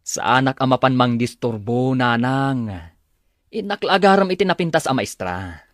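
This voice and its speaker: A man's voice: medium pitch, lively intonation, moderate pace, dry recording, clean studio sound, lightly acted. A man playing a stubborn, lovestruck grown son, insistent and whining, in a radio drama.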